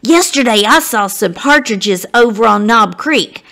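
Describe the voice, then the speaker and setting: A woman's voice: a little high, expressive, unhurried, a bit bright. A woman voicing a plucky, eager seven-year-old frontier boy in a storybook, calling out eagerly. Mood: eager, friendly.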